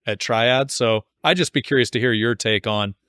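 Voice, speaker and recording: A man's voice: medium pitch, moderate pace, sharp tone, smooth, dry recording, clean studio sound. A man hosting a podcast interview in a casual, informal, jokey way, building up loosely to his questions. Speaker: podcast host interviewing an author.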